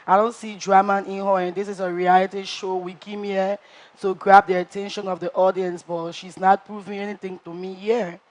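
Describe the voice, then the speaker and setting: A woman's voice: medium pitch, moderate pace, natural voice. Brash drama-loving reality show contestant, woman doing it, acts out all the quotes. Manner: animated storytelling, acts out quotes.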